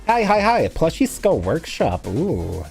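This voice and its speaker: A man's voice: a little high, wildly swooping pitch, slow, creaky, darkish tone. A man live streaming and still sniffly from a recent cold. He rambles from one topic to the next, thanks viewers and answers their comments, with faint music playing underneath. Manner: rambling, chatty, reads and answers chat. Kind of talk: casual live-stream chat while editing.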